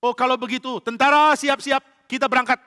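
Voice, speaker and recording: A man puts on a paranoid, wrathful king who shouts every order. His voice rides high and hard, with long reverb trailing each line. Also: animated, a little fast, exaggerated voice. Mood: furious. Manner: shouting, commanding. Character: furious paranoid male king.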